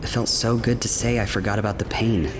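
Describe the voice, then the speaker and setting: A man's voice: on the low side, fairly even intonation, a little fast, natural voice. An actor playing a haunted young man, telling his past in a hesitant, sombre way in a fantasy audio drama. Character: haunted young man recalling childhood enslavement.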